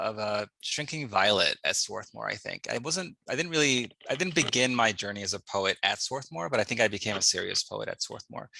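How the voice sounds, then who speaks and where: A man's voice: lowish voice, gravelly, bright, forceful tone, no echo. A man in his forties from the United States, a poet and professor, talking candidly and thoughtfully on a panel. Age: 40s. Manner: candid, musing, self-deprecating. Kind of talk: reflective panel conversation.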